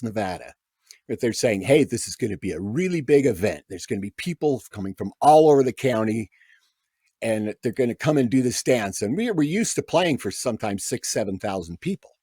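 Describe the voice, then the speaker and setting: A man's voice: lowish voice, a little fast, slightly rough, no room sound, clean studio sound. A man telling stories as a guest musician on a podcast, acting out the people he quotes. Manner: animated anecdote teller, acts out quoted voices. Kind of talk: casual storytelling.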